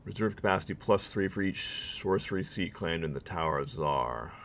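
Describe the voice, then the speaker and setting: A man's voice: deep voice, normal speed, fairly bright tone, a little hiss or hum. A man streaming a strategy game live, thinking aloud and reading game text to his viewers, his voice weak and worn out. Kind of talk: live gameplay commentary.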